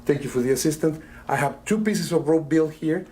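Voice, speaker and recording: A middle-aged man from Italy, a magician doing live patter for a rope trick, talking in a chatty, halting way with many fillers. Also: on the high side, a little mellow. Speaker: magician guest.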